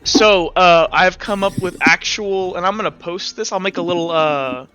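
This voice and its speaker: A man's voice: high-pitched, moderate pace, bright, forceful tone, smooth. A man running an online tabletop game as game master, chatting casually and hesitantly as he thinks aloud. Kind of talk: casual game talk, introducing players and answering questions. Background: music.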